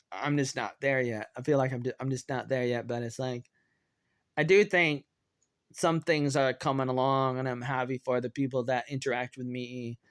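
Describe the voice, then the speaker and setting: A man in his thirties with an American accent, giving a rambling personal update in a hesitant, halting way and often repeating himself. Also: medium pitch, smooth, no room sound, studio quality. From Oregon, United States. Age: 30s. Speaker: online video creator.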